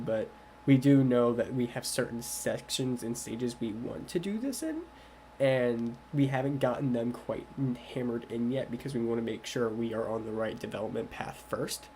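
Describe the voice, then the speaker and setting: A man's voice: medium pitch, a little mellow, slight background noise. A man who is a little sick, hosting a casual livestream Q&A about his game project and talking in a loose, rambling way. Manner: loose and rambling, with many fillers.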